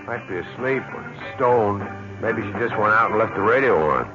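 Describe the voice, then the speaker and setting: A man's voice: lowish voice, moderate pace, loud music behind the voice, close to an everyday voice. An actor playing a wry, flippant barman from the slums in a radio drama, theatrical and sardonic in delivery. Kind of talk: 1970s-style radio drama acting. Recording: dull lo-fi old radio broadcast.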